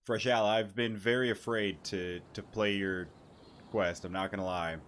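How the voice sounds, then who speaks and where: A man's voice: on the low side, fairly even intonation, normal speed, a bit bright, a little hiss or hum. A man giving casual, rambling gameplay commentary as a streamer, repeating words and swearing casually. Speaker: gaming streamer. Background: game music.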